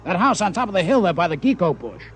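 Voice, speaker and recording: A man's voice: high voice, big pitch swings, quick pace, a bit bright, a little hiss or hum. An actor playing a brash, shady gambling-house boss with blunt, slangy tough-guy talk in a radio comedy. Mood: excited, uneasy. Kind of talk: mid-century radio comedy acting.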